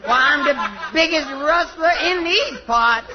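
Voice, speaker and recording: A comedian playing a hapless, cowardly cowboy outlaw who blusters in mangled slang on radio. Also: male voice, very high voice, measured pace. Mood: blustering. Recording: dull, very noisy old radio recording.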